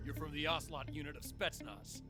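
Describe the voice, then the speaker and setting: An actor playing an agitated, hostile Soviet soldier in a video game. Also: male voice, on the high side, fairly even intonation, fairly quick, close to an everyday voice. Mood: hostile, alarmed. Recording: loud music over the voice.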